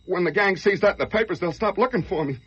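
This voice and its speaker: An actor playing a desperate, brooding fugitive killer in an old-time radio crime drama, given to overwrought outbursts. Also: male voice, high-pitched, fast, fairly bright tone, breathy edge, faint music behind. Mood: urgent, insistent. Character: desperate fugitive man who killed a truck driver. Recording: dull, noisy old radio recording.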